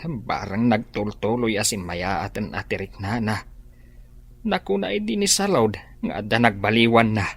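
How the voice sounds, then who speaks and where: A man's voice: lowish voice, lively intonation, moderate pace, gravelly, breathy edge, full character voice. A man with an Ilocano accent acting in a radio drama as a worried father searching for his child, speaking earnestly.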